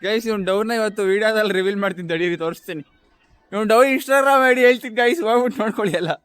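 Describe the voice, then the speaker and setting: A man's voice: sits high, very fast, a bit bright, clean tone, dry recording, soft background music. A young man hosting a casual vlog, teasing and talking straight to his viewers. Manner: teasing, addressing viewers directly. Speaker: vlogger, host.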